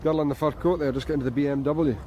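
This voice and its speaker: An actor playing a police detective in a radio drama, calm and dry as he questions a witness. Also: a little high, rapid-fire, slight background noise, close to an everyday voice. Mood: probing. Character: Scottish police detective, patient and probing. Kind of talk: BBC radio drama acting.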